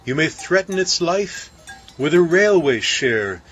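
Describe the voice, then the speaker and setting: Guy doing a weepy scared baker, high shaky voice, slow and pleading. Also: sing-song, a bit bright, husky, exaggerated voice. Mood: tearful pleading. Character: tearful timid male baker, anxious and rambling. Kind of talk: storybook poem reading.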